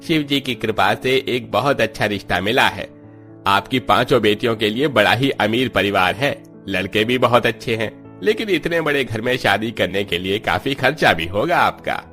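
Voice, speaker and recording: A man doing a bright-voiced cartoon village priest. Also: lowish voice, animated, normal speed, soft background music. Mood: businesslike. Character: middle-aged male village priest, talks about matches. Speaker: priest character.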